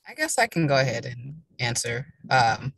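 A young adult from Kansas speaks on a panel over a video call, its voice bright and forward. The sound is dry and close, with the car interior adding no echo. The delivery is reflective and unhurried, full of small pauses. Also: medium pitch, sing-song, vocal fry. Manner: thoughtful, thinking aloud with many fillers. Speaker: panelist, social worker. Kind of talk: casual panel talk. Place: parked car.

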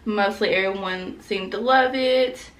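A woman's voice: medium pitch, measured pace, distant mic. A young woman chatting frankly in a casual fashion haul vlog, full of "like" and slang, talking to a camera a few feet away in a small room at home. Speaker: fashion vlogger. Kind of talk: casual try-on haul chat.

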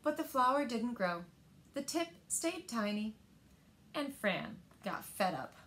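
A woman in her thirties reads picture books aloud to young children with expressive, deliberate phrasing, recorded indoors in a living room. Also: a little high, a little hiss or hum. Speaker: storyteller at a library story time.

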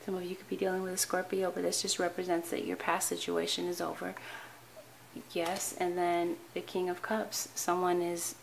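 A woman with a somewhat low, hoarse voice talks earnestly through a tarot spread, pausing and restarting her phrases. Her tone stays calm and steady. A faint hiss of room noise sits behind her words. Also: poor audio. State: hoarse, tired voice. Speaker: tarot reader. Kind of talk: weekly tarot reading to camera. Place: indoor tabletop with cards, voice off camera.

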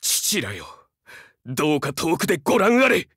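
A young dog-man samurai from a video game, fiercely loyal and earnest. His voice is high for a male and forceful, swinging widely in pitch. He speaks in formal, archaic retainer's language with dramatic fervor. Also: wildly swooping pitch, normal speed, slightly rough, no room sound, clean studio sound, exaggerated voice. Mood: tender, devoted. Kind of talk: Japanese game voice acting, archaic samurai speech.

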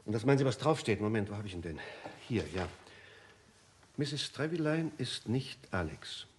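Schauspieler als umständlicher, wichtigtuerischer Erzähler im Krimihörspiel. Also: male voice, medium pitch, big pitch swings, moderate pace, a little hiss or hum, close to an everyday voice. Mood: earnest, mysterious. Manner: circumstantial, dramatic storytelling. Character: fussy, eager male hotel guest telling suspicions. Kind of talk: German radio crime drama acting.

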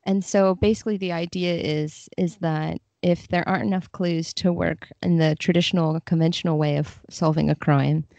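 Female podcast guest, slightly low pitch, enthusiastic slangy chat, dry recording. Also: steady tone, moderate pace, gravelly, few pauses, darkish tone, dry recording, lo-fi sound. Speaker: podcast guest, literary translator. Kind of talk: casual chat about fiction.

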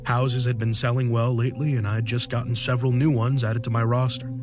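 Man playing male realtor, breezy and self-satisfied, matter-of-fact storytelling, horror audio drama, mid-pitched, clear music behind. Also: flat delivery, fairly quick, natural voice. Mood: matter-of-fact, reflective. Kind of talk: first-person horror audio drama narration.

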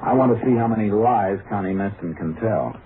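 An actor playing a calm, skeptical Texas Ranger in a 1950s radio drama, speaking in a dry, measured, deadpan way. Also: male voice, fairly low pitch, noisy background, natural voice. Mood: firm, skeptical. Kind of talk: 1950s radio drama acting, first-person narration and dialogue. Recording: muffled vintage radio recording with music.

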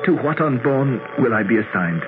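A man playing a proud immortal prince stripped of his powers, halting and theatrical, in a 1970s radio drama. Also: medium pitch, expressive, lightly acted. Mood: resigned. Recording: noisy, narrowband old radio recording.

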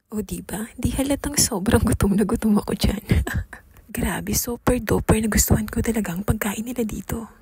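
A young woman from the Philippines narrating a travel and food vlog in a soft, half-whispered voice-over. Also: fairly high pitch, slightly rough. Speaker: vlogger.

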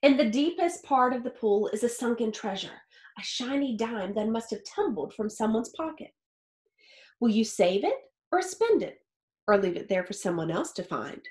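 A woman in her thirties reading a picture book aloud like a teacher, expressively and with deliberate phrasing for young listeners. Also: on the high side, expressive, darkish tone. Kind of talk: expressive children's read-aloud. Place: small home office or study with wooden shelves. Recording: roomy, echoey indoor sound.

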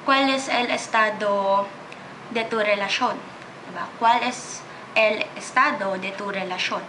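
A woman's voice: mid-range voice, moderate pace, noisy background. A woman in her twenties with a Filipino accent, chatting casually to camera from her bedroom and mixing languages. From Philippines. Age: 20s. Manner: switches freely between English, Tagalog and Spanish, chatty. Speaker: vlogger. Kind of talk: casual chat. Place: small bedroom, indoors. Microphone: camera in front, seated close.

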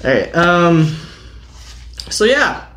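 Young male co-host, deadpan joker, slightly high voice, roomy sound. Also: drawn-out words, slight background noise. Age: young adult. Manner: joking, deadpan bits. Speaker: podcast co-host. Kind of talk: casual podcast banter. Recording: echoey room.

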